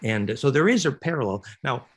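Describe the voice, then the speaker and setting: A man giving an online lecture on a video call, explaining at length with lots of fillers in a raspy voice. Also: mid-range voice, brisk. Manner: animated, rambling explanation with many fillers.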